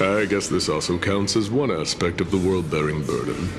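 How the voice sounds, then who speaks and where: An actor playing a teasing, stoic male travelling companion in a video game, sardonic and measured, with music behind him. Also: sits low, natural voice. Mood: teasing, amused.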